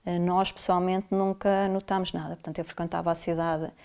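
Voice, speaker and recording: A woman's voice: fairly low pitch, level tone, a little fast, a little mellow, clean tone. A middle-aged woman from South Africa, a family doctor, explaining her experiences in interview answers, with many fillers and restarts along the way.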